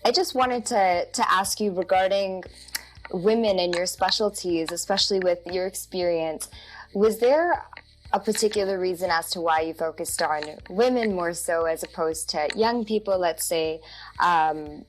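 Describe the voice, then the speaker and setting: A woman's voice: fairly low pitch, measured pace, faint music behind, low-quality recording. A woman hosting a podcast, asking long, encouraging questions in a friendly interview style. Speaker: podcast host. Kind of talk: casual interview.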